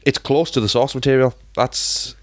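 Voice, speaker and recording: A man's voice: medium pitch, steady tone, moderate pace, no room sound, studio quality. A man in his thirties co-hosting a casual podcast chat, congested from hay fever, rambling with many fillers and restarts. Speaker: podcast co-host.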